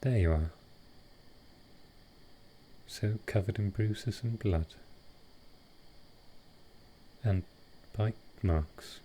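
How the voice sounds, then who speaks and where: A man voicing a gentle, courtly vampire lord in a roleplay audio drama, speaking softly and formally with halting care. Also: fairly low pitch, a little hiss or hum. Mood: grim concern. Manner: soft, slow, formal, halting. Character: gentle old-world male vampire lord, protective and courtly.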